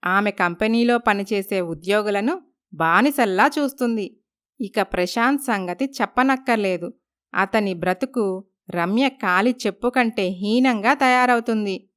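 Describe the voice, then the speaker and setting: A woman's voice: mid-range voice, fairly bright tone, smooth. A woman reading scripted narration for a moral story.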